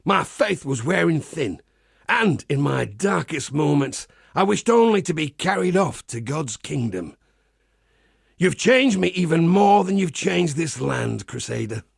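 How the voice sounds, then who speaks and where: A man voicing a pious, wry crusader priest in a video game, with a husky, gravelly voice and a measured, folksy preacher's manner. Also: fairly high pitch, sing-song, no echo, studio quality, heavily acted. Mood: earnest, confessional. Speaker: game character. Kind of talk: scripted game character monologue.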